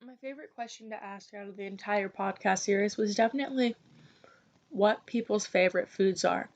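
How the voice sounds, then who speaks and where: A woman's voice: mid-range voice, brisk. A young American woman hosting a podcast, chatty and enthusiastic in casual talk. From United States. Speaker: podcast host. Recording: dull lo-fi recording.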